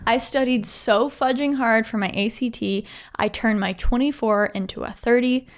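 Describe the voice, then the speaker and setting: Young podcast host from Texas, higher voice, playful and quick to tease. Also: female voice. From Texas, USA. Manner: playful, teasing interjections. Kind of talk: casual conversation.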